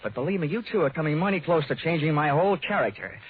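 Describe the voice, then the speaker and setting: An actor playing a wisecracking, tough American insurance investigator in a 1940s radio detective drama, speaking brisk and wry. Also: male voice, fairly high pitch, lively intonation, a little hiss or hum, close to an everyday voice. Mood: tough, sardonic. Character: wisecracking hard-boiled insurance investigator man. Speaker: lead actor and narrator. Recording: tinny 1940s radio recording, music.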